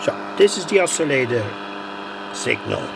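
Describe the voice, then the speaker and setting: A man demonstrating a radio circuit at his workbench, speaking haltingly with pauses, fillers and self-corrections. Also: fairly high pitch, expressive, gravelly, heavy background noise.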